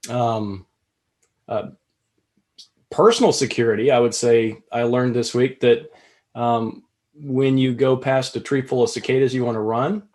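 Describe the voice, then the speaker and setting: A man's voice: medium pitch, steady tone, measured pace, long pauses, darkish tone. A man co-hosting a podcast, chatting casually in a rambling, self-deprecating way with many fillers. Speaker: co-host.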